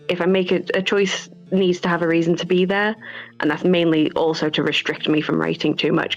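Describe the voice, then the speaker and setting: Lower-pitched woman, gravelly, over music. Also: lo-fi sound. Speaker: game developer. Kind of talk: casual interview answers.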